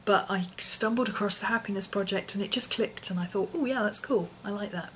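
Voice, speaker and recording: A woman, her voice hoarse and husky from an infection, chatting casually in a vlog with lots of ums and small laughs. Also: medium pitch, fairly quick, a little hiss or hum, low-quality recording. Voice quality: husky, often whispery. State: hoarse, voice partly lost from infection. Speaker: vlogger.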